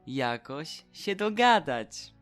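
An actor playing an earnest, halting young boy statue who makes peace, in a radio play. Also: mid-range voice, very animated, a bit bright, soft background music, full character voice. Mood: hesitant.

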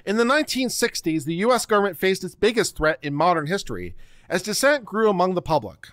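A middle-aged man giving a theatrical, deliberate dramatic reading of a written text into a close microphone. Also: fairly high pitch, fairly bright tone, no room sound. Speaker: online show host. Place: small home studio room. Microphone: close microphone on boom arm.

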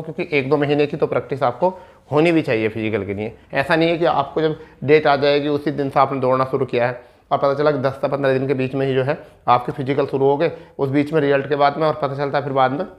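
A man's voice: mid-range voice, fairly even intonation, quick pace, dull audio. A man, explaining informally like a coaching teacher, repeating points and checking in often. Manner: repetitive, explanatory, with frequent check-in tags. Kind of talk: informal instructional explanation at a board. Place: indoor studio in front of a digital board. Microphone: clip-on lapel mic.

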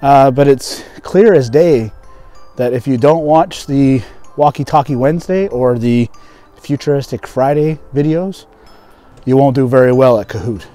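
A man's voice: mid-range voice, a little slow, mellow, soft background music. A man in his thirties hosting an English lesson, acting out conversational skit lines and explaining idioms as a teacher. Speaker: English teacher and video host.

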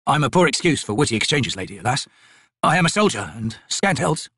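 A man's voice: mid-range voice, very animated, brisk, bright, forceful tone, husky, no room sound, studio quality, voice pushed up, full character voice. A man voicing a wry, prickly, self-deprecating scarred soldier in a fantasy audiobook, with a grumbling manner. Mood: uneasy. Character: scarred young soldier-scout, male, wry, awkward, prickly.

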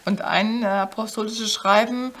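An older woman interpreting a talk in a studio, halting, with many fillers and self-corrections. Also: medium pitch, steady tone, a bit bright. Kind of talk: consecutive interpreting. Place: studio with backdrop.

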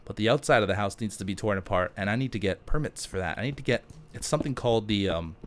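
A man's voice: lowish voice, expressive, fast talker, a little hiss or hum. Rambling man chatting over gameplay. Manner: rambling, thinking aloud. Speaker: gaming YouTuber. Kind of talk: casual gameplay commentary with question-and-answer chat.

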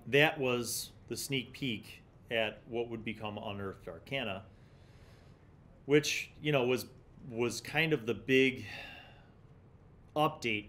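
A man's voice: lowish voice, fairly bright tone. A middle-aged man hosting a review series, reading aloud and commenting in a casual, musing, conversational way. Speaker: host of a gaming magazine review series. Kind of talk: casual commentary with passages read aloud from an article. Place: small indoor studio set with shelves. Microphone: condenser mic on the desk in front of him.

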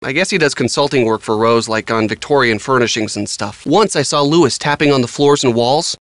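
A man's voice: mid-range voice, brisk, fairly bright tone, dry recording, lightly acted. An actor playing an earnest young American handyman in a video game, talking casually and a little defensively. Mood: friendly, casual. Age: young adult. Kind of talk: conversational video game dialogue.

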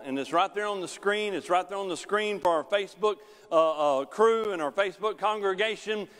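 A middle-aged male pastor preaching a sermon in a large church sanctuary, pacing the stage. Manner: preaching with wide swings in pitch and loudness, pacing the stage. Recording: long church hall reverb.

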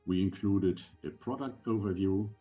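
An older man, around seventy, giving an instructional slide presentation in measured, deliberate short phrases, recorded indoors on a computer microphone. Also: low voice, warm tone, muffled recording, faint music behind. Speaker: presenter giving medical advice for sailors.

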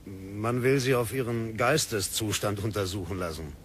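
An actor playing a dutiful colonial police sergeant in an old German radio drama, speaking in an official, coaxing way. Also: male voice, fairly low pitch, moderate pace, slight background noise, lightly acted. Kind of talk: 1960s radio drama acting.

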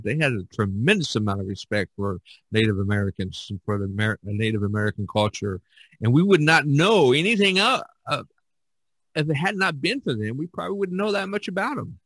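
Guy hosting a casual podcast, kinda halting, hunts for words, lowish voice, dry sound. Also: fairly bright tone, dry recording, rough recording. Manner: halting, repetitive, searching for words. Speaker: podcast host. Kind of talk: casual discussion.

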